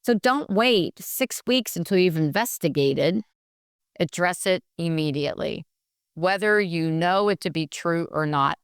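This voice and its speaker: A middle-aged woman nurse consultant answering in a podcast interview, energetic and practical, often acting out what people say. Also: lowish voice, clear, resonant tone, dry recording, clean studio sound. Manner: energetic, practical, acts out quoted dialogue.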